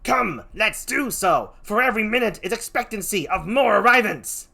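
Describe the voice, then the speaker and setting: A gentleman messenger from a Shakespeare play, acted by a man in a read-aloud. He proclaims emphatically in a husky, forceful voice. The pitch leaps around in a lively way. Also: normal speed, exaggerated voice. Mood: earnest. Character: breathless gentleman messenger bearing war news.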